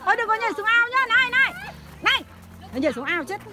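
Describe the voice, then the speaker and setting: A woman's voice: extremely high pitch, very animated, fast talker, over a noisy crowd. A woman chatting casually outdoors and talking to her dog dotingly, like a child. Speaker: dog owner filming a vlog. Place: outdoors at a campsite.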